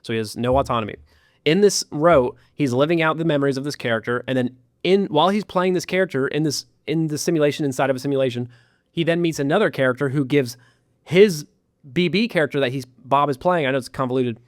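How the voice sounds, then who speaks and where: A man recounting a story in casual podcast talk, animated, with sarcastic asides. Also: a little high, quick pace, no echo, studio quality. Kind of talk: casual chat.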